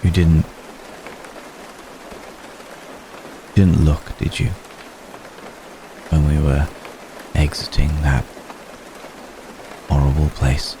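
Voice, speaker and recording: A man playing an ancient, gentle, courtly vampire in an intimate ASMR roleplay, speaking tenderly in measured, formal phrases with hesitant pauses. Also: a bass voice, noisy background. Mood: gentle concern. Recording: close recording with steady rain ambience.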